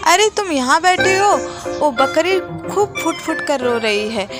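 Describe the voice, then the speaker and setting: Woman voicing an anxious, high-pitched little cartoon bird. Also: animated, measured pace, over loud music, full character voice. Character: worried, earnest little female bird, loyal to forest friends.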